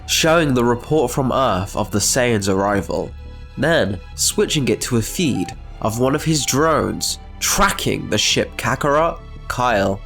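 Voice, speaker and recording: A man's voice: medium pitch, vocal fry, fairly bright tone. A man narrating a scripted story as the host of a channel. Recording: faint music behind the voice.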